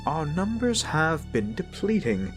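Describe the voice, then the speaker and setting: A man voicing a scheming necromancer lich in a fantasy audio drama, with a theatrical, mock-sweet, drawn-out delivery. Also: on the high side, big pitch swings, music in the background, pitched above the performer's own voice. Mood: gleeful scheming. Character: gleeful scheming male necromancer lich villain.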